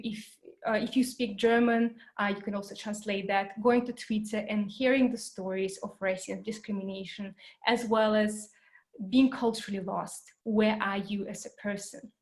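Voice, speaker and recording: A woman's voice: medium pitch, fairly even intonation, normal speed, a little mellow. A woman who grew up in New Zealand, giving an online educational talk in an earnest way, with many fillers and repeated words. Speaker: workshop presenter. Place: home, Berlin.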